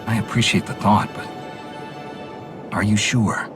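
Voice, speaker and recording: An actor playing a noble, reassuring knight commander in a video game, courteous and steady. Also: male voice, lowish voice, measured pace, music in the background, close to an everyday voice. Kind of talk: video game cutscene acting.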